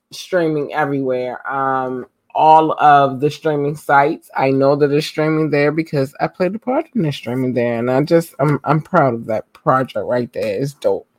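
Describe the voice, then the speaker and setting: A woman hosting her podcast, her voice getting hoarse. She talks loosely with lots of ums and slang. The sound is close and dry, with no room around it. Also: low-pitched, no room sound. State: losing her voice. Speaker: podcast host. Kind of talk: casual live podcast talk.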